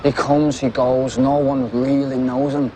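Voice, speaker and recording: An actor playing a brash young Liverpool gang lad, heard through a noisy film clip. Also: male voice, medium pitch, level tone, measured pace, mellow, lightly acted. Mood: persuasive.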